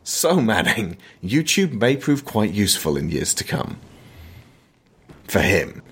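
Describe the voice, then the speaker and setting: Low-pitched man talking films. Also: very animated, slow pace, a bit bright, breathy edge. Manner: emphatic, opinionated, sweary. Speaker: podcast host. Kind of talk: conversational film commentary.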